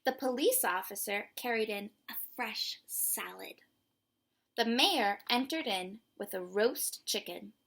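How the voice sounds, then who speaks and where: A woman with a breathy voice reads aloud and explains simply to young children in an expressive, emphatic way. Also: medium pitch, slow. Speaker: children's story reader and host.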